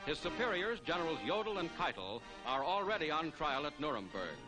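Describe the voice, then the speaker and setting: Lively, high-pitched American male newsreel announcer. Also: fairly quick, over music, rough recording. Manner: declamatory, formal announcer delivery. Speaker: newsreel narrator. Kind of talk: 1940s newsreel scripted narration. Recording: vintage 1940s newsreel soundtrack.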